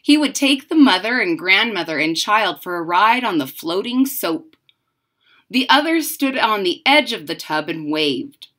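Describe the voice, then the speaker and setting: A woman's voice: mid-range voice, very animated, unhurried, bright, forceful tone. A woman in her thirties or forties reading a children's picture book aloud, with dramatic emphasis and chatty asides. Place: room at home.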